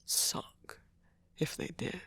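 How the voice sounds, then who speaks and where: A man playing a tender, fretful vampire boyfriend in an ASMR roleplay, speaking softly and hesitantly, often close to a whisper. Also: drawn-out words, no room sound. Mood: musing, playful. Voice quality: breathy, often near whisper. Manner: soft-spoken, hesitant, stammering, tender. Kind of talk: ASMR roleplay audio drama.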